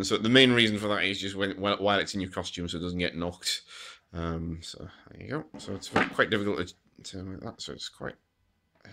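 A man giving a casual, halting demonstration of a homemade gadget. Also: lowish voice, background noise. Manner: hesitant, halting explanation. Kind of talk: casual unscripted product demonstration. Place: indoors at a wooden table.

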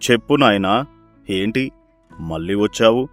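A man voicing a kindly, serene ascetic in a cartoon moral story, speaking in a slow, benevolent way. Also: fairly low pitch, animated, faint music behind.

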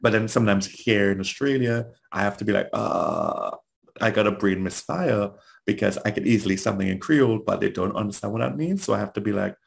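A man's voice: fairly low pitch, vocal fry. A man from Trinidad with a Trinidadian accent, giving a conversational webinar talk as a trainer and asking rhetorical questions.

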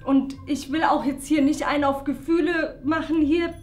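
A young woman playing a sulky teenage contestant in a web game show, speaking in halting youth slang. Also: high voice, fairly even intonation, darkish tone, echoey room, close to an everyday voice. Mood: frustrated. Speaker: camp contestant in a scripted web challenge show. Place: small decorated studio room indoors. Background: music.

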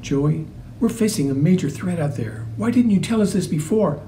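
A man's voice: high voice, lively intonation, moderate pace, mellow, distant mic, slight background noise. A man voicing a firm, concerned female police officer who questions a child. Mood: probing.

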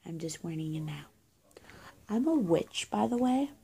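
Young woman for improvised witch roleplay: unhurried, halting delivery, soft dark tone, breathy and often near a whisper. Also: lowish voice, animated. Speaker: roleplay video creator. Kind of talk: improvised roleplay to camera, playing a witch caring for someone hurt. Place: small indoor room with bare walls. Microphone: phone or webcam at arm's length.

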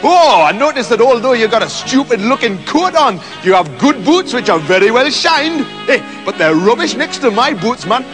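A man's voice: very high-pitched, big pitch swings, normal speed, hardly any pauses, long reverb tail, music in the background, pitched above the performer's own voice. A man voicing a boastful, rude, cheerfully violent male hero with a cocky, bossy way of talking, in a storybook reading.

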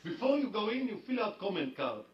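A man's voice: sits high, sing-song, brisk, soft background music. An actor playing a pushy, sarcastic Israeli man in a comedy sketch. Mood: brash. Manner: brash, sarcastic, boastful. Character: pushy brash Israeli man, defensive and loud. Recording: echoey lo-fi clip from a distance.

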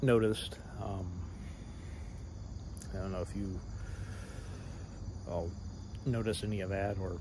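Guy in his 30s thinking out loud into his camera at night, lots of ums, kinda deep voice, crickets chirping loud behind him. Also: steady tone, slow pace, pauses often, noisy background. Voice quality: fairly deep voice. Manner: hesitant, full of fillers and trailing thoughts. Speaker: vlogger. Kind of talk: rambling casual monologue. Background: crickets and insects at night.

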